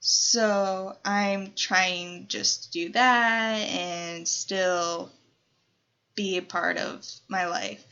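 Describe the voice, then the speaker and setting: A woman's voice: lowish voice, steady tone, very slow, pushed and bright, smooth, lo-fi sound. A nineteen-year-old woman from Colorado, chatting casually in a Q&A vlog, rambling with lots of fillers. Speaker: YouTuber.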